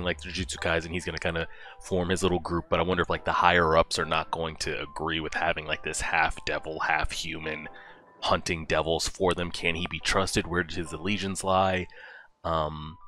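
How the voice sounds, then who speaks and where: A young man talks through his reactions in a casual, musing way. His voice is very low but bright and forward, picked up close on a headset, with faint music behind him. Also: little pitch movement, a little fast. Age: young adult. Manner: informal, musing aloud in short remarks. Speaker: reaction video host. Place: small indoor room at home. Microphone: headset boom mic.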